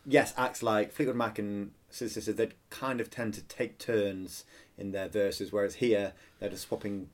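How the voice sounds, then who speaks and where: A man's voice: on the low side, fairly even intonation, moderate pace. A man co-hosting a podcast, chatting enthusiastically about pop songs with playful asides and frequent hesitations. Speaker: podcast co-host. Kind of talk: casual chat reviewing an album.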